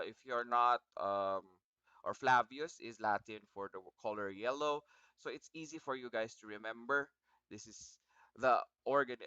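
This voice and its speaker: Bright-voiced Filipino man teaching, slightly froggy. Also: mid-range voice, clean tone, no echo, lo-fi sound. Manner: encouraging, repeats himself, asks students questions. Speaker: virology lecturer. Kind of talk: informal online lecture to students.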